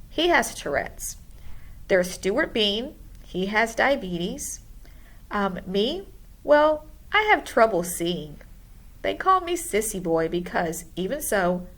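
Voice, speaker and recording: A woman's voice: mid-range voice, a bit bright. A woman voicing a gawky, friendly storybook schoolboy who talks in an eager, emphatic way. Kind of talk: storybook character voice.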